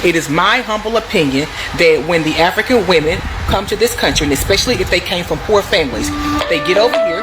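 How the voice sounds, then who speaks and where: A woman's voice: lowish voice, poor audio. An American woman hosting a video, casually sharing stories and opinions in an emphatic, digressive way. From United States. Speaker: video host. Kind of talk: casual storytelling and opinion to viewers. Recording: clear background noise.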